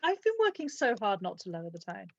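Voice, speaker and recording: A woman chats on a video call, giggly and a little bashful. Her audio is dry and slightly muffled. Her voice sits at a middle pitch. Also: big pitch swings, quick pace, gravelly, darkish tone, no room sound. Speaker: author and player. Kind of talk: casual chat on a video call.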